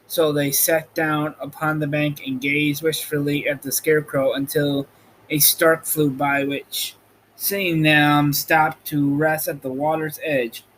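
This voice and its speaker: Slow-paced, higher-voiced man narrating. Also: level tone, a bit bright, rough recording. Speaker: host reading a storybook aloud. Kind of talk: scripted storybook narration. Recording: light background noise.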